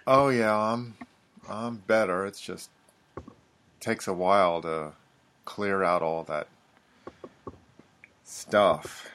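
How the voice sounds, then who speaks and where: Man, host, somewhat low voice, casual friendly chat, short prompting questions. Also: slow pace, long pauses, a bit bright. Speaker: podcast host. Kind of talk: casual conversation.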